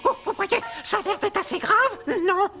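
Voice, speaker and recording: Anxious stammering cartoon tool, very high-pitched. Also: moderate pace. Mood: anxious, self-pitying. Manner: stammers on words, fretful. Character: timid, anxious, stuttering talking tool who worries constantly. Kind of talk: children's cartoon dubbing. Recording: music behind the voice.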